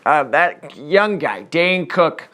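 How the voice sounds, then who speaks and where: Man voicing a cocky Colombian vice principal, late twenties, heavy put-on accent, loud and proud. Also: fairly high pitch, big pitch swings, slow, heavily acted. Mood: excited. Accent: put-on Colombian Spanish. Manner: loud, proud, broken English. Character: boastful 29-year-old Colombian male vice principal.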